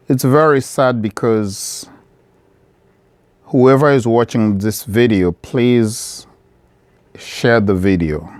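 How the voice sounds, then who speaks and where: A middle-aged man from the Democratic Republic of Congo, speaking straight to camera from his shop in an earnest, measured appeal that repeats key phrases. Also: fairly low pitch, darkish tone, clean tone, no echo. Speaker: vlogger, business owner.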